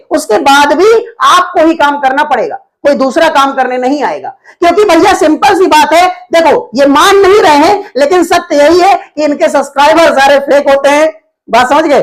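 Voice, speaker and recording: Very high-pitched man, insistent and colloquial. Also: low-quality recording. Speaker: YouTuber and host. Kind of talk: casual phone talk and explaining to viewers.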